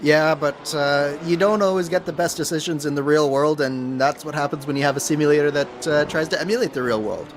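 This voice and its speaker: A man's voice: a little high, a little fast, hardly any pauses, noisy background. A man co-commentating a sim race, explaining driving in a hesitant, thoughtful way. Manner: hesitant, thoughtful, many restarts. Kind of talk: live race commentary chat.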